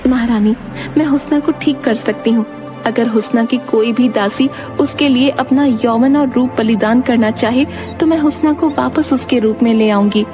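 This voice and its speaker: A woman's voice: a little high, normal speed, music in the background, natural voice. A woman voicing a stern divine fairy with magic powers, solemn and admonishing, in a cartoon tale.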